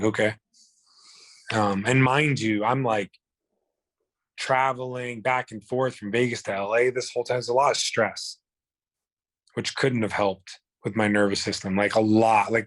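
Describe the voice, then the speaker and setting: A man's voice: on the low side, fairly even intonation, measured pace, a bit bright, no echo. A man, telling his own illness story in a blunt, profane, rambling way. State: hoarse. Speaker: guest. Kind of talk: candid personal storytelling.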